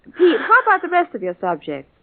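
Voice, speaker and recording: A sensible wife and mother in a vintage radio comedy, dry-witted and gently skeptical. Her fairly high voice moves expressively, on a muffled old recording. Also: huge pitch swings, brisk, vocal fry, no room sound, heavily acted. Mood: patient, questioning. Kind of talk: old-time radio comedy-drama acting.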